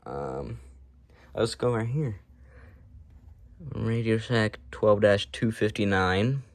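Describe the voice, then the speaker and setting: A man's voice: on the low side, animated, slow. A man giving a casual, unscripted show-and-tell as a hobby collector, talking in an offhand, matter-of-fact way with rambling asides.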